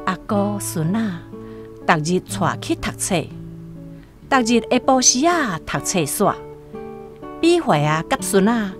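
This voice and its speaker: A Taiwanese woman narrates a radio drama at an unhurried pace. Her storytelling rises and falls expressively over plucked guitar music. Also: mid-range voice, big pitch swings. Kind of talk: scripted literary storytelling.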